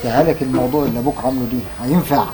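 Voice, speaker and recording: A woman plays a fretting village mother with a low, very fast and lively voice. She is heard from a distance outdoors, with clear noise around her. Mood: worried.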